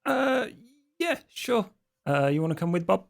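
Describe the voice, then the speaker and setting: A man's voice: high-pitched, very animated, a little slow, vocal fry, exaggerated voice. A man playing a wry, teasing vampire burglar in a tabletop game, talking casually with some hesitation. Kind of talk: improvised tabletop role-play.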